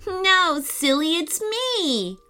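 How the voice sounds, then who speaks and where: A woman's voice: very high voice, very animated, drawn-out words, bright, forceful tone, faint music behind, voice pushed up, exaggerated voice. A woman voicing a feisty, sceptical young girl in an audio storybook, teasing and exclaiming. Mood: teasing, delighted.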